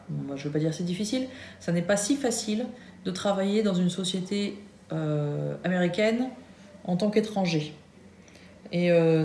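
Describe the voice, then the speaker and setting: Une femme française, la trentaine, qui parle de son travail en vivant aux États-Unis, avec beaucoup d'hésitations et de faux départs. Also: female voice, on the low side, warm tone. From France. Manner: hesitant, many fillers and restarts. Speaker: Nike employee in the US. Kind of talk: casual vlog chat. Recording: echoey room with faint hum.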